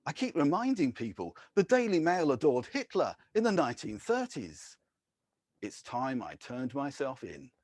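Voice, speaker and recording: A man, a poet, reading his own poems in a measured, deliberate way, with short casual introductions between them. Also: mid-range voice, huge pitch swings, a little mellow, no room sound. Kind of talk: poetry reading with spoken introductions.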